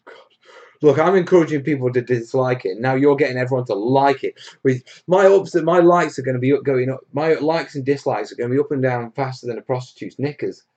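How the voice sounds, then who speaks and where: A man's voice: mid-range voice, fairly quick, a little mellow, dull audio. A man from Wales hosting a casual live Q&A stream, chatting to viewers in a blunt, jokey, matey way. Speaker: host of a hobby livestream.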